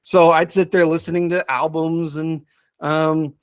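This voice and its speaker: A middle-aged man chatting casually as a podcast's subject expert, thinking aloud in a halting way with repeated words. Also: a little high, level tone, smooth, dry recording, clean studio sound. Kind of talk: casual chat.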